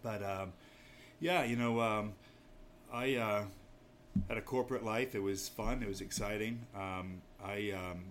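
A man's voice: on the low side, steady tone, measured pace. An American man from Maine chatting casually as an interview guest, in a hesitant, modest, understated way. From Maine, United States. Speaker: interview guest, inn owner. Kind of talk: casual chat. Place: small pub off an inn lobby. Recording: faint background noise.

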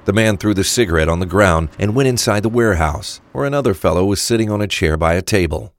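Very deep male narrator. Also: fast talker. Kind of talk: scripted audio drama narration.

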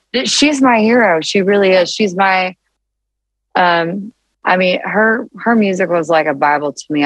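A woman songwriter with a deeper, low-register voice, chatting casually as an interview guest and telling animated stories with many restarts. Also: no echo, low-quality recording. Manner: animated storytelling with frequent restarts and laughs.

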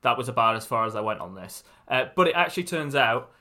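A man's voice: mid-range voice, lively intonation, fast talker, sharp tone. A man with a Welsh accent co-hosting a podcast, dry and understated. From Wales. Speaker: podcast co-host. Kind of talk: casual chat.

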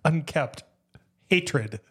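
Male co-host, husky, dry matter-of-fact delivery. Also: on the high side, expressive, drawn-out words. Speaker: podcast co-host. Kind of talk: casual chat.

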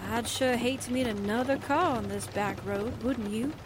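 A woman's voice: fairly high pitch, normal speed, close to an everyday voice. An actress playing a brassy, slangy, wisecracking newspaperwoman in a 1940s-style radio drama. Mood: wry, grumbling. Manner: wry, slangy quips. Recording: clear rain and car noise behind. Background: rain and vehicle sounds.

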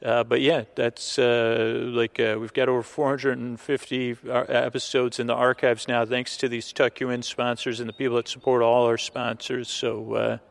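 A man hosting a sleep podcast in a creaky voice, rambling along in a low-key, hesitant, droning monologue. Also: flat delivery, normal speed, few pauses. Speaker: podcast host.

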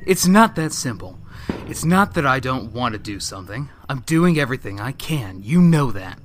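A man's voice: mid-range voice, very animated, moderate pace, a crowd in the background, close to an everyday voice. An actor playing a defensive small-town policeman in an audio drama, earnest, insistent and exasperated. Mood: pleading, defensive.

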